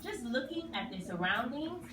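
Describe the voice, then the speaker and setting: Animated woman narrator, roomy distant recording. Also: high voice, normal speed. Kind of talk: scripted children's story narration. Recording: roomy, distant mic, clear noise.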